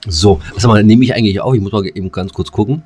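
A man's voice: on the low side, fast talker, darkish tone, slightly rough. A man co-hosting a podcast in loose, casual banter, talking in slang with mild swearing. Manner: slangy, mildly cursing. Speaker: podcast co-host. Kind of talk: casual banter between friends.

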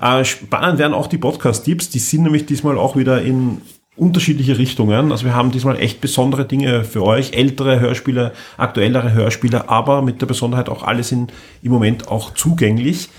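A man's voice: medium pitch, brisk. A man with an Austrian accent hosting a podcast in a casual, chatty style. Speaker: podcast host. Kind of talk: casual chat.

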